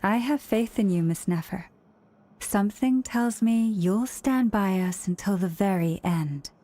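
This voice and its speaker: A woman voicing a gentle, quietly confident priestess in a video game, polite and sincere. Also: mid-range voice, a little slow, lightly acted. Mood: earnest, assured.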